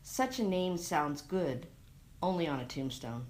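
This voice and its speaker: A woman giving a dramatic reading of a literary short story, expressive and measured, recorded on a lavalier mic. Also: deep voice. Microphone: lavalier microphone into a phone.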